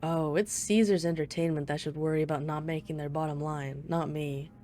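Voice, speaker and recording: Woman playing a low, mellow, teasing female mafia boss. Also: fairly even intonation, fairly quick, a little hiss or hum, close to an everyday voice. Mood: smug, charming. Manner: playful, smug, flirtatious banter. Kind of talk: ASMR roleplay, one-sided dialogue.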